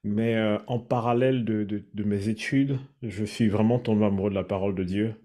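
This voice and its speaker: A man from Cameroon, sharing his personal story in a thoughtful, measured way. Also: fairly low pitch, steady tone, dark tone. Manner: thoughtful, measured, searching for words. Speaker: guest sharing his testimony. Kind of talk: reflective personal testimony.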